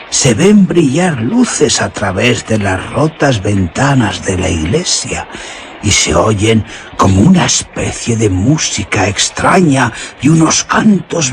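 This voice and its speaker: A man's voice: lowish voice, a little hiss or hum. A man playing a rustic herdsman who tells an old legend in a mysterious, suspenseful radio drama manner. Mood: eerie, grave. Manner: mysterious, drawn-out, suspenseful storytelling.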